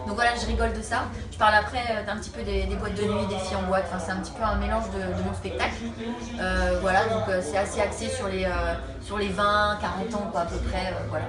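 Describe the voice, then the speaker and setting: A young woman stand-up comedian chatting about her act in an interview, friendly and quick to laugh. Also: medium pitch, flat delivery, roomy sound, distant mic, over a noisy crowd. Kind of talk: casual interview answers.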